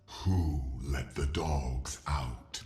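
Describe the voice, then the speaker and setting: A man voicing an ancient, stoic jackal-headed god-warrior in a video game, solemn and measured, with a processed, otherworldly voice. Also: fairly even intonation, dark tone, soft background music, exaggerated voice. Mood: dry, stern. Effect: deepened, processed monster-like voice. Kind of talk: scripted video game champion lines.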